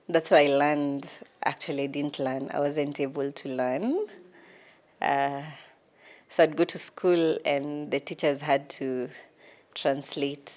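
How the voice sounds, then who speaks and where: Kenyan woman, 30s, interview guest, low and unhurried, pausing with ums. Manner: hesitant, many ums, laughs at herself. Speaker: guest, lawyer. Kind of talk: casual interview answers.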